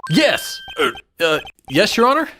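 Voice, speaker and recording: Man as a jumpy, animated rookie lawyer. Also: high voice, measured pace, slight background noise, full character voice. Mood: nervous, confused. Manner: jumpy and hesitant, turning emphatic. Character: young, nervous rookie male defense lawyer, earnest. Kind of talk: fan dub of a courtroom video game. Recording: music over the voice.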